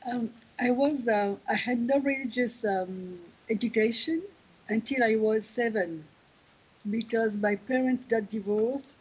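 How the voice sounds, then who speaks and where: A woman in her sixties, raised in Algeria and France, answering questions as an interview guest, pausing often to find her words. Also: on the high side, breathy edge. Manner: thoughtful, pauses to search for words. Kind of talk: video call interview. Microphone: video call. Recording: lo-fi video call audio.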